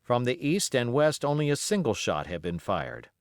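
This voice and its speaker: A man reading literary narration as an audiobook, expressive and measured in his storytelling. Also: medium pitch, no room sound, clean studio sound.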